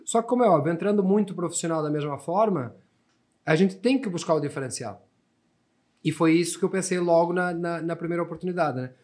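A man's voice: on the high side, brisk, a little mellow. A man in his thirties from Portugal with a European Portuguese accent, a podcast guest telling stories in a chatty way with many restarts and tag questions. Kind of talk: casual storytelling conversation.

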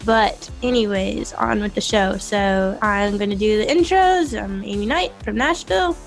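A woman hosting a casual tech podcast panel, her voice a little hoarse from being sick, keeping things moving with friendly, quick introductions. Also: mid-range voice, soft background music. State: hoarse from illness. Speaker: podcast host.

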